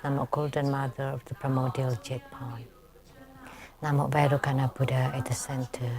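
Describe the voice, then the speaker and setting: A woman acting as ceremony emcee, leading a ritual recitation in a measured, steady manner. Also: rumbling low. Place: temple hall. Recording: large gathering audible behind.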